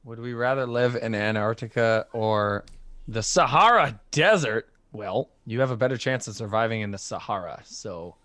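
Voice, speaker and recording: A man's voice: mid-range voice, moderate pace, a bit bright. A man in his twenties hosting a casual livestream chat, reading out chat and joking around. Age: 20s. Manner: joking, reads chat aloud. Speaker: livestream host.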